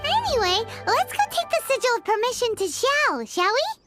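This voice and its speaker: A woman voicing a tiny, excitable fairy companion in a video game, bouncy and exclamatory, over loud game music. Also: moderate pace. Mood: excited. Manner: high-pitched, bouncy, exclamatory.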